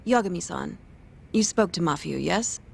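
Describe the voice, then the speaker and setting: Casting: reserved office woman in a crime game, polite, deadpan, clipped, lowish pitch, slight noise behind. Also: normal speed, gravelly. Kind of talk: English video game dub acting.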